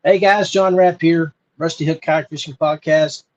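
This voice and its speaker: An American man hosting a podcast, talking informally and earnestly with frequent pauses. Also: fairly high pitch, fairly even intonation. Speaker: podcast host. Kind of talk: informal solo podcast talk.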